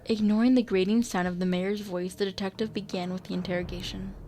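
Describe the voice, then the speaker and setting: A woman's voice: mid-range voice, little pitch movement, fast talker, mellow, slight background noise. A woman narrating a scripted radio drama.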